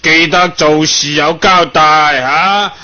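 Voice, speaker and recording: An actor playing a middle-aged company boss, deliberate and officious but soft-hearted, in a Cantonese radio comedy. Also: male voice, a little high, steady tone, heavily acted. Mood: officious, grudging. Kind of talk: improvised radio comedy drama. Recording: dull lo-fi radio broadcast.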